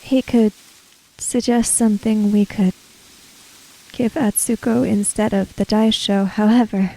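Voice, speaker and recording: A woman acts out an earnest samurai-era woman for a tabletop roleplaying game. The voice is mellow and close, with a creaky edge, and each formal sentence is laid down slowly and carefully. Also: mid-range voice, steady tone, poor audio. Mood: thoughtful, puzzled. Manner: measured, formal diction without contractions.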